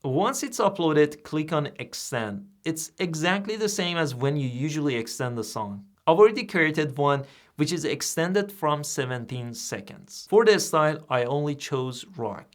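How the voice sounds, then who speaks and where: A man whose first language is Persian, hosting a software tutorial and explaining each step in a plain, steady way. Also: fairly high pitch, unhurried, hardly any pauses. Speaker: tutorial host. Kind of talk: screen-recorded software tutorial.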